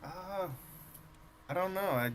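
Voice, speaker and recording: A man's voice: high-pitched, expressive, slow pace, a little hiss or hum. A man playing an easygoing, slightly distracted weaponsmith in a tabletop game, speaking casually. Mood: casual, reassuring. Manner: casual, laid-back. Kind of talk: tabletop role-play.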